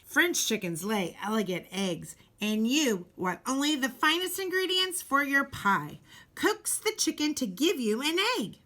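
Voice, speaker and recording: A woman reading a children's storybook aloud expressively, with deliberate, dramatic emphasis and pauses. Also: sits high, big pitch swings, pushed and bright. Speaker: teacher reading a picture book aloud.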